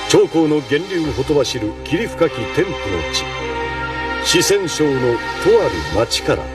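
A man's voice: fairly high pitch, animated, unhurried. A man performing as a grand, solemn storyteller narrating an anime epic of old China. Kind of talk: dramatic scripted anime narration. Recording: distant sound under loud music. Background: loud music.

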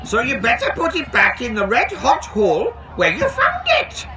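A man voicing a blunt, excitable, eccentric gold miner from a children's storybook, emphatic and yelping. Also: extremely high pitch, moderate pace, over a noisy crowd, pitched above the performer's own voice, full character voice, rough recording.

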